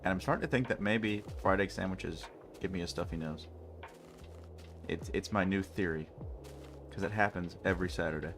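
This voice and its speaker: A man's voice: sits low, moderate pace, background noise. A man with a stuffy nose chatting casually and rambling jokily with his chat while streaming a game. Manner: rambling, jokey, talks to chat.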